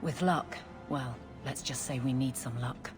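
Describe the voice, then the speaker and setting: This is a guard captain in a video game, a dutiful woman with a notably deep voice. She talks bluntly with wry wariness. A long reverb and music sit around her. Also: unhurried. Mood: wry, wary. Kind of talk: dramatic video game cutscene acting.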